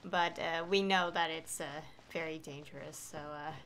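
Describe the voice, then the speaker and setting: A woman's voice: deep voice, steady tone, moderate pace, fairly bright tone, a little hiss or hum. A woman streamer giving casual, rambling commentary on a game, with plenty of fillers. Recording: game music playing behind.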